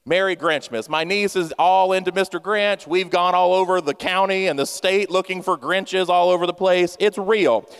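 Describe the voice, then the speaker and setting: A man's voice: sits high, normal speed, hardly any pauses, fairly bright tone, clean tone. A man in his thirties preaching a humorous sermon, playful and self-mocking, talking straight to his congregation. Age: 30s. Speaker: pastor. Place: church sanctuary. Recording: long church hall reverb.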